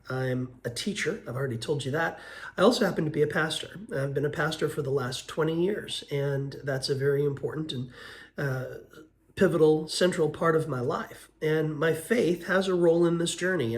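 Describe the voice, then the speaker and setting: A man in his fifties, hoarse from a cold, giving an earnest, unhurried vlog update with frequent ums and uhs. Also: fairly high pitch. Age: 50s. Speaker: vlogger. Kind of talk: casual weight-loss update vlog.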